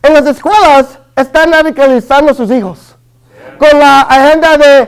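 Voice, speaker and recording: High-voiced Chicano preacher. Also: male voice, normal speed, rough recording. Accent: Chicano Spanish. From Los Angeles, family from Guatemala. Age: early 30s. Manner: hesitant, many fillers and restarts. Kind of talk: Spanish-language sermon.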